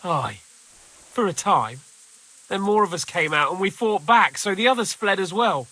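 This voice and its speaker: An actor playing a shaken, bitter male raid survivor in a video game, speaking haltingly. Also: sits high, animated, pushed and bright, no echo, exaggerated voice, rough recording. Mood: subdued, bitter. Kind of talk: video game dialogue acting.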